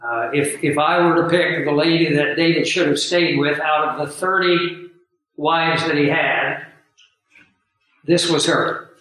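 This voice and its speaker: A man preaching a sermon to a live audience, his voice hoarse and scratchy from the flu, teaching in an earnest, emphatic way with pauses. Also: fairly high pitch, pushed and bright. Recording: very echoey room, voice far from mic.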